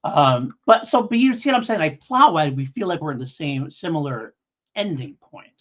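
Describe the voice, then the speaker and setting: A man with a husky voice talks casually as a podcast co-host. He rambles and hedges as he works through his opinions conversationally. The recording is slightly dull and lo-fi. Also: a little high, very animated, moderate pace. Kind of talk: casual podcast review chat.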